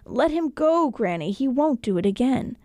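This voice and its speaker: A kindly old cobbler man from a storybook, voiced by a woman. He is pious and earnest, correcting others gently. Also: high-pitched, very animated, moderate pace, no room sound, clean studio sound. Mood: gently firm.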